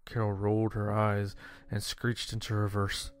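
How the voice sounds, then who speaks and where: A man narrating a scripted first-person horror story in a measured, dramatic way. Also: on the low side, monotone. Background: music.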